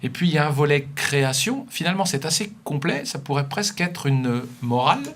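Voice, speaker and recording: A man's voice: a little high, big pitch swings, brisk, fairly bright tone. A man hosting a regional TV studio interview, introducing guests and asking questions with playful asides. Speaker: TV host.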